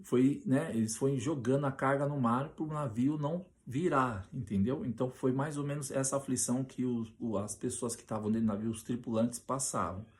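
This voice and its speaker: A Brazilian man preaching on a Bible passage, often restarting his sentences and slowing down to read scripture aloud with emphasis. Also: medium pitch. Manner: hesitant with many restarts, reads scripture slowly and loudly.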